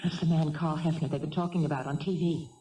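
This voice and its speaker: An actress playing an unflappable, hospitable suburban housewife in a 1970s radio drama, matter-of-fact and obliging. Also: female voice, low voice, fairly even intonation, fast talker, mellow, lightly acted, poor audio. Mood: tense.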